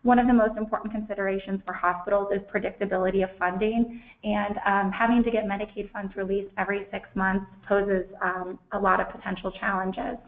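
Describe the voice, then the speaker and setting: A woman's voice: medium pitch, level tone. Woman from Ohio, webinar guest speaker, scratchy from a cold, earnest, heard over a tinny phone line. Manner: measured, earnest, some ums. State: has a cold, losing her voice. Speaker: guest speaker, hospital association advocacy director. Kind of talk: webinar presentation.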